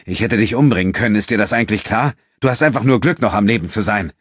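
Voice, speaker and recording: Ein Mann, der in einem Hörbuch einen bewusst barschen, herrischen Mann spricht, knapp und streng. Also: male voice, lowish voice, fast, husky, dry recording, clean studio sound, voice pushed up. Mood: harsh, urgent. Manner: brusque, domineering. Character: gruff, commanding man, deliberately harsh, secretly protective. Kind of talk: audiobook character acting.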